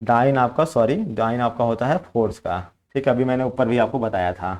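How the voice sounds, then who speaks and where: A man's voice: mid-range voice, fairly quick, darkish tone, dull audio. A man with an Indian accent teaching in an explanatory, instructive way, walking through exam questions and switching between two languages. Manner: instructive, code-switches between Hindi and English.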